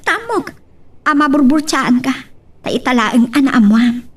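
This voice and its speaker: A woman's voice: high-pitched, expressive, measured pace, breathy edge, recorded from a distance, heavily acted. A woman with an Ilocano accent playing a flirtatious, confident boss in an amateur audio drama. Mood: coy, persuasive. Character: flirtatious, confident lady boss in love with employee.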